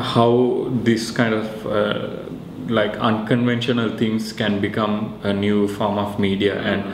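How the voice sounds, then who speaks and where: A man with a Sri Lankan accent explains his work in a hesitant, informal way. His voice is on the low side with very flat intonation, recorded in an echoey room. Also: gravelly. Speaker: researcher and maker presenting a project. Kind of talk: informal explanatory interview talk. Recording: echoey room with faint background noise.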